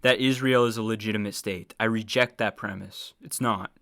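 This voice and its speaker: A man with a bright, forward voice at an average pitch talks casually on a livestream. He gives opinionated reaction commentary and is blunt about it, swearing freely. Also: steady tone, moderate pace.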